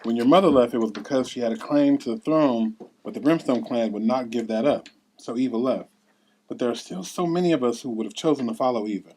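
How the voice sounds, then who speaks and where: A man's voice: medium pitch, a little fast, a little mellow, slight background noise, lightly acted, poor audio. A man reading the part of a solemn exiled council elder in an earnest, expository way at a script table read. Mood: grave, explanatory.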